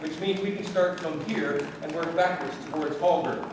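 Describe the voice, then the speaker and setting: Radio play casting: exasperated Englishman male actor, high voice, old-fashioned indignation, noisy distant recording. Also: normal speed, lightly acted. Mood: anxious, searching. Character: excitable, exasperated English gentleman hunting stolen pearls. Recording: echoey room, distant mic, clear background noise.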